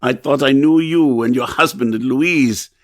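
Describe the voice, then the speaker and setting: An actor playing a tormented amnesiac composer in an asylum in a radio drama, halting and theatrical. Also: male voice, mid-range voice, natural voice. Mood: haunted, groping. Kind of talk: old-time radio drama acting.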